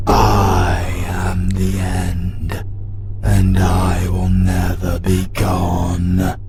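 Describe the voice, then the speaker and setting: A man voicing a deep, slow British demon. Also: completely flat delivery, gravelly, loud music behind the voice, full character voice. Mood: menacing. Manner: slow, drawn-out menace. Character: ancient male demon power, cold and grandiose. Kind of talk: fantasy audio roleplay acting.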